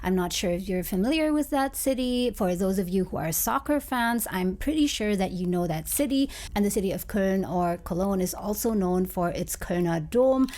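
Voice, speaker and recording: A woman's voice: medium pitch, lively intonation, normal speed, few pauses, dark tone. A woman who grew up in Germany and Indonesia, a native German speaker, telling her story casually as a vlogger with explanatory asides for viewers. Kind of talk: casual personal storytelling to camera.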